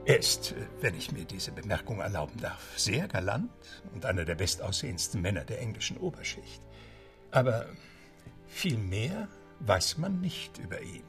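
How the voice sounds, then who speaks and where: A genteel English club butler telling a story in a radio play. His gravelly voice moves animatedly over soft music, with courteous asides and theatrical pauses. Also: lowish voice, very animated. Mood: musing, confiding. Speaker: narrator. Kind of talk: radio drama narration.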